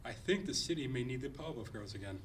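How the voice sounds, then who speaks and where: Casting: man as pushy, wistful middle-aged superhero dad, picked up from far off the mic with some noise, lively delivery. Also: mid-range voice, fast talker, close to an everyday voice. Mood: grave. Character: middle-aged father of grown superhero daughters, nostalgic, pushy. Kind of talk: comedic table read. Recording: distant mic, noisy room.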